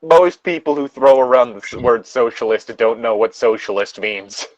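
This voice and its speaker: A man co-hosting a podcast, reading aloud and joking casually in a wry, halting way on a slightly dull microphone. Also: medium pitch, no echo. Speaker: podcast co-host reading fan fiction aloud.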